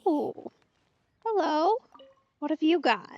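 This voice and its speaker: A woman's voice: high voice, expressive, takes it slowly, dry recording. A woman giving casual let's play commentary, musing and reacting aloud in short remarks, in slightly muffled stream audio. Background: soft game music.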